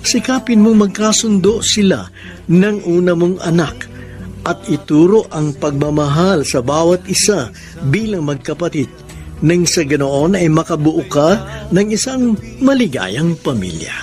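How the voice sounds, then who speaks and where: A male radio drama host delivers scripted advice formally and solemnly. His voice is fairly high and swings widely in pitch. Soft music plays underneath. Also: animated, unhurried. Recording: faint music bed.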